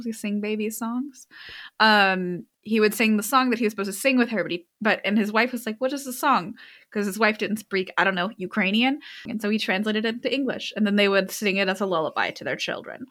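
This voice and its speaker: A woman's voice: medium pitch, a bit bright. A young woman casually retelling a book's plot to a friend, rambling with lots of fillers and restarts. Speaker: storyteller recapping a book. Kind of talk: casual chat.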